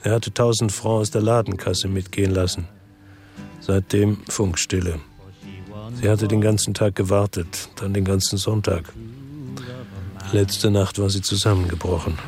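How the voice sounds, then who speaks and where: An actor playing a world-weary former policeman who narrates his story calmly and reflectively in a radio drama. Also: on the low side, normal speed, faint music behind, close to an everyday voice. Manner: calm, reflective first-person narration. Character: world-weary ex-cop, middle-aged man, lives by the sea.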